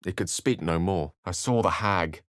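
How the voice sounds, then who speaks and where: Man voicing a low-pitched, eloquent, wry wizard. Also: moderate pace, no room sound, studio quality, lightly acted. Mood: grave, haunted. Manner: articulate, theatrical, wordy and wry. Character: eloquent, scholarly male wizard with a wry temper. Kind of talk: video game voice acting, dramatic narration and dialogue.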